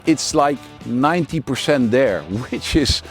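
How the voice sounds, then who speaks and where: A man's voice: mid-range voice, animated, unhurried, faint music behind. A man in his forties with a Dutch accent, casually reviewing a motorbike outdoors with lots of fillers and restarts. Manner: chatty and frank, with many fillers and restarts. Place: outdoors at a working mine site.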